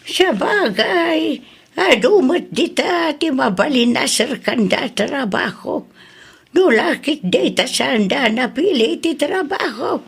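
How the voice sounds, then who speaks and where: An Ilocano-accented woman playing a gentle, pious old village grandmother in a radio drama. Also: sits high, animated, slow pace, vocal fry, heavily acted.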